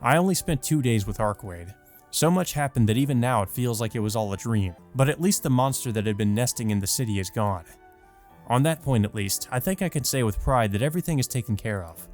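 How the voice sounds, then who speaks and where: A man's voice: mid-range voice, expressive, a little fast, darkish tone. A man reading first-person visual novel narration aloud as a let's player. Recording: music behind the voice. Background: music.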